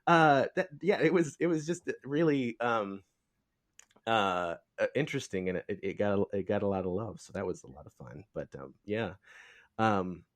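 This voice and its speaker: A man hosting a casual podcast interview, talking in a halting, rambling way as he feels for words. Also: on the low side, lively intonation. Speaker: podcast host.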